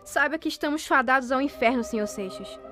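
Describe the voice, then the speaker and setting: A woman's voice: sits high, a little fast, close to an everyday voice. A woman playing a wronged, indignant wife in a radio melodrama, with dramatic, formal diction. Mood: indignant, anguished. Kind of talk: radionovela acting. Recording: loud music behind the voice.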